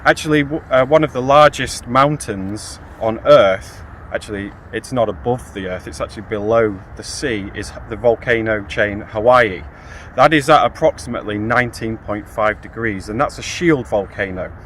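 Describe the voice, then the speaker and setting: A man's voice: on the low side, moderate pace, fairly bright tone. A man in his thirties giving an informal, explanatory lecture outdoors to camera, posing questions and answering them. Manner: explanatory, poses rhetorical questions. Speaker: field geologist giving an explanation. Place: outdoors in a grassy field. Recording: outdoor recording with clear ambient noise.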